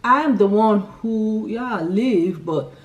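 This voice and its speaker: A woman's voice: medium pitch, slow, muffled recording. A young woman with a Nigerian accent chats casually and laughs easily, restarting her sentences and filling the gaps with "yeah". Her voice sits in the middle of the range for a woman. The camera picks her up from a little way off, so she sounds slightly distant. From Nigeria, Imo State. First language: Igbo. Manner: chatty, giggly, lots of restarts and "yeah". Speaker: vlogger. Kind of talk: casual chat answering a tag list.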